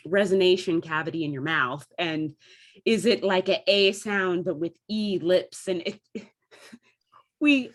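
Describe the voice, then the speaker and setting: A woman with an American Midwestern accent explains things in a casual, chatty way, like a theatre member discussing her craft. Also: on the low side. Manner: chatty, demonstrates vowel sounds as she explains. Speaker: theatre company member recounting dialect training.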